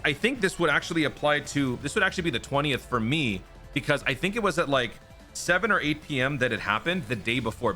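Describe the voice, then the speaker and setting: A man's voice: fairly high pitch, bright, forceful tone. A man hosting a gaming channel, casually walking through teasers and speculating, often checking in with "right?". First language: not Japanese. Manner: chatty, explanatory, frequent "right?" tags. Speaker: YouTube gaming channel host.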